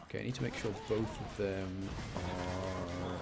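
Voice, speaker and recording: A man's voice: low-pitched, steady tone, a little slow. A man giving casual, rambling live commentary on a game, chatting to his stream and often interrupting himself. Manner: rambling, self-interrupting, mild grumbling. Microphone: close streaming microphone. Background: game music.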